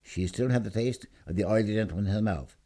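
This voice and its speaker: A man's voice: fairly low pitch, fast, warm tone. A man reading a scripted short story aloud in a theatrical, emphatic storytelling manner. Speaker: story reader.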